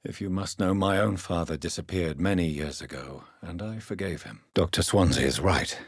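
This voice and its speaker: A man with a British accent playing a grave, formal gentleman vampire doctor in a dramatic video game, husky-voiced and precise in his diction. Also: sits low, normal speed, no room sound, studio quality. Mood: composed, reflective.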